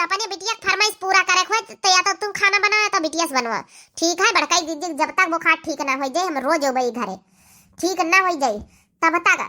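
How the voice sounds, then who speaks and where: A woman's voice: very high voice, bright, forceful tone. A woman voicing a fiery, combative young village sister-in-law in an Awadhi comedy cartoon, scolding in an Awadhi accent. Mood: bossy, threatening. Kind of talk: Hindi-belt comedy cartoon dialogue.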